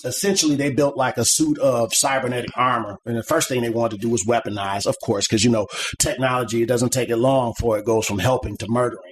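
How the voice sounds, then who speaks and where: A man's voice: medium pitch, steady tone, fast talker, few pauses, a little hiss or hum. A middle-aged man talking as a guest on a podcast, explaining his ideas in a loose, rambling way full of "like" and "you know". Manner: rambling and conversational, many "like" and "you know" fillers. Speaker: podcast guest, comic artist. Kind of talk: casual interview chat.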